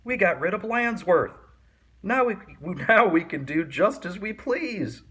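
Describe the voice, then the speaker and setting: A man voicing mischievous, excitable schoolchildren in a storybook, eager and exclaiming. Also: high voice, measured pace, husky, pitched above the performer's own voice, heavily acted. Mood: gleeful.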